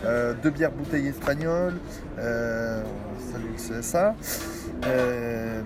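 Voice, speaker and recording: A man hosts a casual food show out on the street, chatty and often tripping over his own words. Passers-by are clearly audible behind him. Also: medium pitch, slow, crowd noise.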